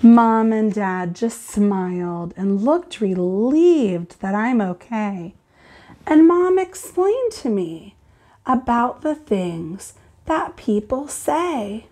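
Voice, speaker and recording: A woman teacher reading aloud and explaining to children in an expressive, deliberate, instructive way. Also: on the high side.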